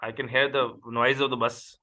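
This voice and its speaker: A man's voice: mid-range voice, flat delivery, sharp tone. A man from Pakistan, a native Urdu speaker, speaking as an accounting tutor and patiently interviewing a student over a video call. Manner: patient, repeats questions slowly. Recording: noisy call audio.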